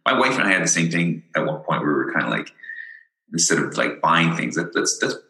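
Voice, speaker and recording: A very deep-voiced man guests on a podcast, yet his tone comes across bright and forward. He talks earnestly and with enthusiasm, stumbling and restarting his words, and the audio has a roomy echo. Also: lively intonation, fairly quick, gravelly. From born in Ireland, raised in Ontario, Canada. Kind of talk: casual interview chat.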